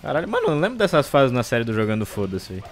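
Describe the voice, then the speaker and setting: A Brazilian man hosts a gameplay video in a casual, profane way. His pitch jumps around a lot as he gets excitable and exasperated. Game music plays behind him. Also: rapid-fire. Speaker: gaming video host.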